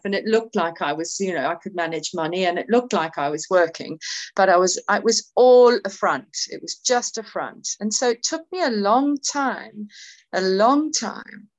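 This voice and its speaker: A middle-aged woman from South Africa with a South African accent, sharing her recovery story in a heartfelt, halting way. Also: medium pitch, rough recording. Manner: heartfelt, halting, many restarts. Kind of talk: personal testimony at a recovery meeting.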